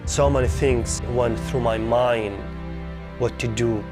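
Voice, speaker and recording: A man's voice: mid-range voice, fairly even intonation, slow pace. A middle-aged man from southern Iraq with an Iraqi accent and Arabic as his first language, giving personal testimony as an interviewee. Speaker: documentary interviewee, former refugee. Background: background music.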